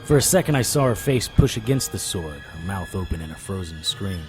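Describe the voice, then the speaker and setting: Low-voiced, hard-boiled monster hunter. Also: male voice, animated, a little fast, soft background music. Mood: grim, intent. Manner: terse, hard-boiled, defiant. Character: tough, defiant male monster hunter, narrating his own tale. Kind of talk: scripted first-person audio drama narration and acting.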